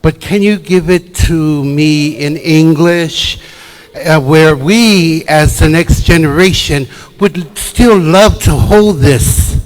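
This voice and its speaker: Slow-talking man in an echoey hall. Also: on the high side. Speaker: audience member asking a question. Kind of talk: asking a question from the floor. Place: church hall. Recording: echoey hall with faint noise.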